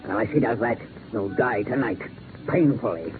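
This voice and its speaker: Low male voice, actor as vengeful froggish crime boss, gloating menace, old radio drama, tinny noisy recording. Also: animated, moderate pace, natural voice. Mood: bitter, menacing. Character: squat frog-faced gangster villain, obsessed and vengeful. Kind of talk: 1940s radio acting. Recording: noisy narrow-band 1940s radio recording.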